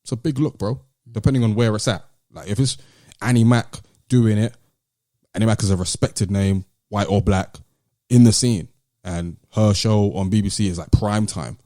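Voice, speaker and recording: A British man co-hosting a podcast, talking in a casual, measured and articulate way with some slang. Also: lowish voice, warm tone, no room sound, clean studio sound. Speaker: podcast host. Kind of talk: casual discussion and commentary.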